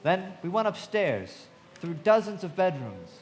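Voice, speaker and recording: A man's voice: high-pitched, big pitch swings, a little slow, soft background music. A man acting in a live radio play as a frank, observant young narrator telling his memories in a theatrical way. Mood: reflective, descriptive. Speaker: narrator and actor. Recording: long hall reverb, clear background noise.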